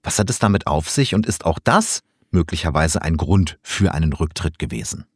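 A man's voice: sits low, lively intonation, normal speed, slightly rough, no echo, studio quality. A man hosting a news interview, putting prepared questions to a correspondent.

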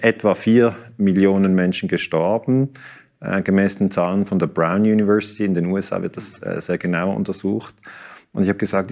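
A middle-aged man, answering interview questions as a historian in a measured, explanatory way, with frequent hesitations and restarts. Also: deep voice, creaky, a little mellow.

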